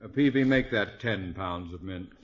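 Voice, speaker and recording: A man's voice: low voice, moderate pace, slight background noise, lightly acted. An actor playing a haughty, cold, wealthy middle-aged man with a pompous, clipped manner in 1950s radio comedy. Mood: pompous, boastful. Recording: muffled vintage 1950s radio broadcast.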